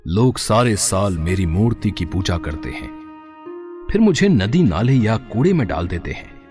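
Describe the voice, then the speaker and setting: A man voicing a benevolent, solemn god in a mythological moral story, speaking slowly and gently. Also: fairly low pitch, very animated, faint music behind. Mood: troubled.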